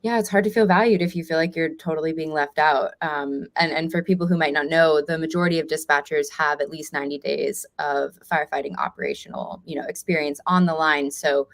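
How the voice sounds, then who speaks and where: A woman's voice: low voice, fairly even intonation, brisk, few pauses. A woman with an American accent hosting a conversational interview as a reporter, in an informal, affirming manner.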